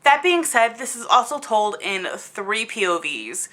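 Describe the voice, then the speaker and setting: A woman's voice: fairly high pitch, big pitch swings, measured pace, sharp tone. A woman giving a casual, opinionated review to camera in a blunt, exasperated, conversational way, leaning on words for emphasis. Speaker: book reviewer hosting a video channel.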